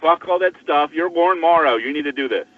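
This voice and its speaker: A man's voice: on the high side, fast, no echo. An older man chatting casually and giving advice in a blunt, coaxing manner. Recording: tinny phone-like line, distant, music behind.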